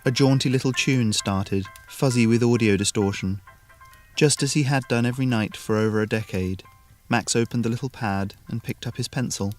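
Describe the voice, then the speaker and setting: A man's voice: mid-range voice, moderate pace, faint music behind. A man narrating a scripted short story as the storyteller.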